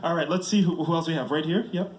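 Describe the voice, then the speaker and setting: An echoey, noisy room recording of a man with a slightly high voice. He is moderating a live audience Q&A in a cinema. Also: quick pace.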